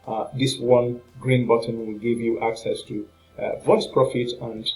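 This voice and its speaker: Man pitching products, salesy and hesitant. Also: flat delivery, measured pace, a little mellow, soft background music, rough recording. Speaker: online marketing product reviewer and channel host. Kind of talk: unscripted promotional review to viewers.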